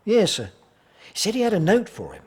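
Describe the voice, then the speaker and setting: An actor playing a deferential, helpful working-class English hotel porter in an audio drama, speaking in a homely, colloquial way. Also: male voice, sits high, wildly swooping pitch, normal speed, breathy edge, heavily acted. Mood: obliging.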